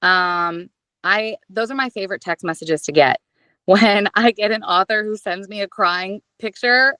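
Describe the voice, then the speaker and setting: A woman in the middle of her range, chatting as an interview guest in a lively, self-deprecating way. She talks about her work with plenty of feeling. The sound is close and dry. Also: mid-range voice, a little slow, dry recording. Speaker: audiobook narrator and guest. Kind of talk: casual interview chat.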